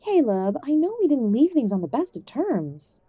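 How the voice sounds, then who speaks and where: A woman playing an ailing, contrite mother in an audio drama, soft and pleading toward her estranged son. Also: high-pitched, sing-song, normal speed, husky, no echo, highs cut off, heavily acted. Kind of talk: audio roleplay drama acting.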